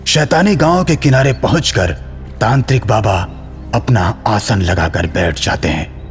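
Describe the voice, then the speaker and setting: A man's voice: fairly low pitch, lively intonation, a little slow, long reverb tail, soft background music. A man giving scripted narration for a horror story.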